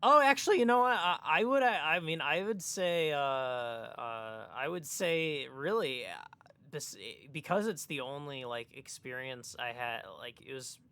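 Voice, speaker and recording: A man's voice: on the high side, unhurried, bright, forceful tone, clean tone, no room sound. A man co-hosting a casual chat, thinking aloud and hesitating as he works out his answers. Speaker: podcast co-host.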